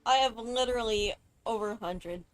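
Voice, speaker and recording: A woman's voice: on the high side, normal speed, low-quality recording. A woman game streamer giving casual live commentary as she plays. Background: game music.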